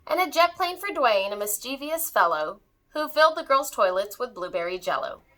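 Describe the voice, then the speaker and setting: A woman reading a rhyming children's story aloud with expressive storytelling delivery. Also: a little high, normal speed.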